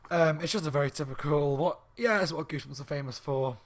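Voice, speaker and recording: A slightly hoarse man reviewing a book in a rambling, casual chat at home, with lots of ums and restarts. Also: fairly high pitch, fast talker. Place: room at home by a window on a main street.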